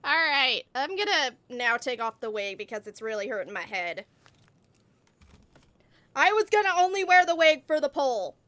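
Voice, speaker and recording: A woman chatting casually and a bit scatteredly to viewers as she livestreams a game, excitable in manner. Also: sits high, moderate pace, no room sound. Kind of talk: casual livestream chat while gaming.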